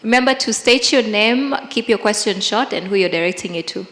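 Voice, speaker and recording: A woman with a medium-pitched voice moderates a live panel, directing who speaks next. The sound is heavily echoed, with the long tail of a big hall. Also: fairly quick, a bit bright. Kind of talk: directing a live question session. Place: large conference hall, public address.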